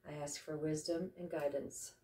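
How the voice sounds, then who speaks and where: A woman leading a guided meditation and invocation, speaking slowly and reverently with deliberate pauses. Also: sits low, little pitch movement, dark tone. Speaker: meditation guide and ceremony host. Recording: roomy echo, distant microphone.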